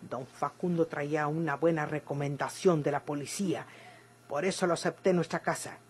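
A woman's voice: sits low, steady tone, normal speed, natural voice. An actress playing a proper, scolding middle-aged mother who runs a boarding house, with a Mexican accent, in a radio drama. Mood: stern, indignant. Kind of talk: golden-age Mexican radio drama acting. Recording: old radio recording, faint noise.

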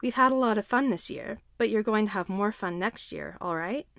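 Soft-spoken male daycare teacher, read by a woman. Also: medium pitch, normal speed, no echo, studio quality, lightly acted. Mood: reassuring.

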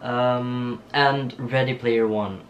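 A young man from Norway with a Norwegian accent, chatting casually to camera in a small home studio, laughing often and pausing with ums. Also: medium pitch, a little hiss or hum. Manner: relaxed, giggly, lots of ums and restarts. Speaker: vlogger. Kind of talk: casual get-to-know-me chat. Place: small bedroom studio with shelves and posters. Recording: soft guitar music underneath.

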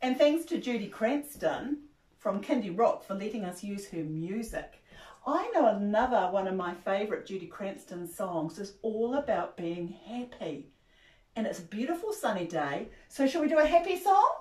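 An older woman from New Zealand with a New Zealand accent, leading a children's rhyme and song session in an animated, cheerful way. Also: medium pitch, moderate pace. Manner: cheerful, animated, sings and gasps to engage toddlers. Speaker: library rhymetime presenter. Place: small carpeted room indoors. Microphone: far camera. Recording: roomy sound from a far-off camera.

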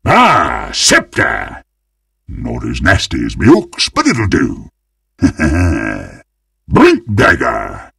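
Monstrous undead butcher, British accent, video game, gravelly, slow, greedy relish, theatrical menace. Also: male voice, rumbling low, huge pitch swings, a bit bright, dry recording, clean studio sound, distant mic, full character voice. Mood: gloating relish. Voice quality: gravelly, husky. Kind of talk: video game character voice lines.

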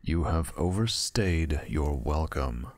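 A man's voice: a bass voice, expressive, slow, exaggerated voice. A man playing a stern, powerful owl-creature father, cold and commanding, in an audio roleplay drama. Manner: cold, imperious.

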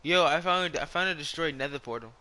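A young man chatting excitedly while playing a video game, yelping at in-game mishaps. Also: a little high, a little fast, slight background noise, poor audio. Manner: excitable, yelps at in-game hazards. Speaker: gamer. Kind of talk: casual gaming chat.

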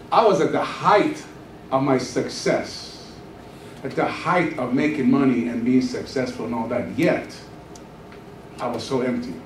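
A man's voice: mid-range voice, a little hiss or hum. A man in his sixties from Iran, a pastor giving a joking testimony sermon on a church stage with a handheld microphone. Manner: storytelling, joking, animated gestures while pacing the stage. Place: church stage, large room. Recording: echoey church hall from far off.

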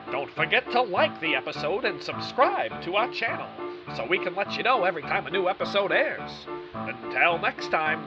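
A man's voice: sits high, lo-fi sound. A man working as an announcer, reading a scripted show outro over guitar music.

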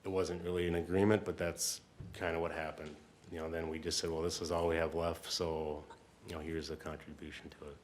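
A man speaking as a city staff member, explaining things at a council meeting in an informal, halting way. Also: extremely low pitch, little pitch movement, a little hiss or hum.

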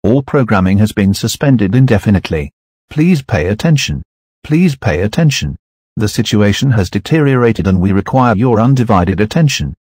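A synthetic-sounding man reading a scripted emergency broadcast announcement in a flat, formal way. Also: lowish voice, normal speed, slightly rough, no room sound, clean studio sound.

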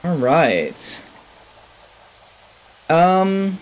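A man's voice: on the high side, sing-song, extremely slow, poor audio. A man in his thirties giving casual, enthusiastic let's play commentary, musing to himself as he plays. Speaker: gaming video host. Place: indoor room at a desk.

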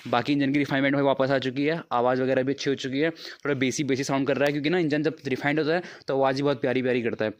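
Talk to the phone like a young man showing friends his bike service, quick, loose and chatty. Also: medium pitch, steady tone. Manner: chatty, calls viewers "bhai" constantly. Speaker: motovlogger. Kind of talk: casual vlog narration to camera. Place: bike service shop, outdoors. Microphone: phone camera.

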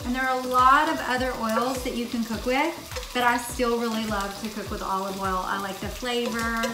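Chatty high-voiced woman in an echoey kitchen. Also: fairly even intonation, moderate pace, noisy background. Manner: chatty, explains as she works. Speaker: host of a cooking show. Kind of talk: casual instructional cooking demonstration. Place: home kitchen, indoors. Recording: echoey kitchen, far from mic, music bed.

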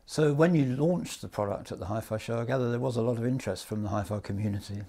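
Gravelly, husky older English interviewer man. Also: medium pitch, fast talker, dark tone. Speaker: interviewer, audio journalist. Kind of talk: measured interview questions. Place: indoor hi-fi demonstration room. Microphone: lapel mic.

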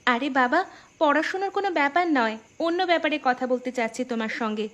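Woman playing an eager, high-voiced village daughter. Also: animated, quick pace. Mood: urgent, scheming. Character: eager, scheming educated young village daughter. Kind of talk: Bengali cartoon voice acting.

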